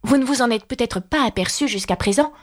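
A woman's voice: on the high side, fairly quick, voice pushed up, lightly acted. A woman playing a polite, earnest young storybook girl who explains herself at length and is easily puzzled.

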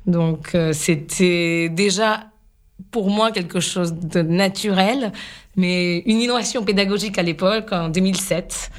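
Woman guest with a low voice. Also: measured pace. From India. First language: Gujarati. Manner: thoughtful, searching for words. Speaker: radio guest, educator. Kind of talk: radio interview.

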